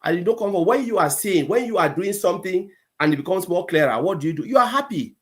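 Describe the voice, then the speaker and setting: A man hosting a gathering and giving closing remarks, halting often and filling pauses with repeated words. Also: high voice, lively intonation. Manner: halting, many fillers and restarts. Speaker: host.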